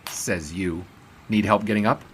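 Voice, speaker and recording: Low-voiced, wry 1920s sportswriter narrator played by a man. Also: moderate pace, a bit bright, slight background noise, lightly acted. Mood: dry, wry. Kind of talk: scripted first-person drama narration. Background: prominent music.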